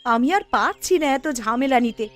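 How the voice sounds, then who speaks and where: Woman as busy cartoon village wife, very high pitch, lively swooping delivery, brisk, nagging, outspoken. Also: soft background music, natural voice. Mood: exasperated. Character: outspoken young village housewife, busy and exasperated. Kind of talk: animated cartoon story dialogue.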